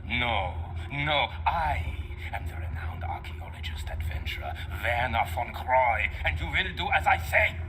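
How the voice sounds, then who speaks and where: A man's voice: mid-range voice, animated, measured pace, few pauses, bright, forceful tone, a little hiss or hum. An actor playing a pompous, impatient older male archaeologist and mentor in a video game cutscene, theatrical and imperious in manner. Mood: scornful, haughty. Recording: game music behind the voice.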